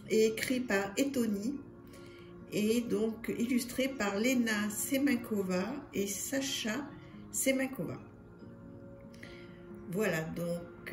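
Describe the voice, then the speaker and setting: A woman hosting her tarot channel, showing a deck in a casual, chatty way with many fillers and repeated words. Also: mid-range voice. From France. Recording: echoey room with music behind. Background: soft music.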